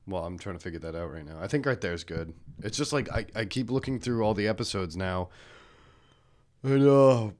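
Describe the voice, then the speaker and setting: A man's voice: lowish voice, lively intonation, brisk, a little mellow. A young man hosting a casual podcast, joking loosely and swearing freely as he chats with a guest. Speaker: podcast host.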